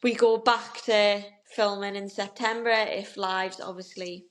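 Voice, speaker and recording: A woman's voice: medium pitch, steady tone, unhurried, a bit bright. A young woman, co-hosting a podcast in casual, bubbly chat. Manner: chatty, bubbly, gushing. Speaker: podcast co-host.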